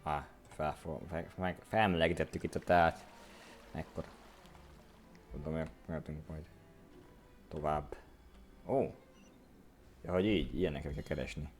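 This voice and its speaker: A man giving casual live commentary as a game streamer, muttering short, halting remarks. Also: rumbling low, fairly even intonation, faint music behind. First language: Hungarian.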